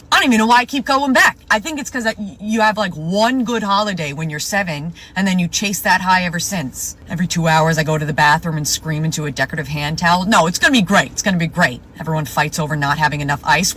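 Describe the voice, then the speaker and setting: A woman's voice: on the low side, animated, quick pace, bright, forceful tone. A woman delivering a sardonic, exasperated comedic monologue.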